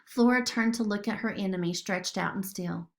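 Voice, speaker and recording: A woman's voice: mid-range voice, moderate pace. A woman narrating a children's story expressively, as a storybook reader.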